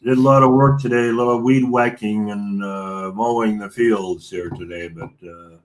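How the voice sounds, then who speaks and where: A man's voice: on the low side, fairly even intonation, moderate pace. An eighty-year-old man with an American accent chatting casually as a guest, in loose, rambling thoughts. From United States. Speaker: regular guest. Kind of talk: casual chat.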